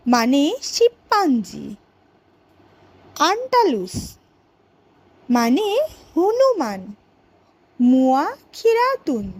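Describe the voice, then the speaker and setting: A woman voicing a cartoon schoolteacher who teaches vocabulary to young pupils in a deliberate, word-by-word way. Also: sits high, full character voice. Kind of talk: educational cartoon vocabulary lesson.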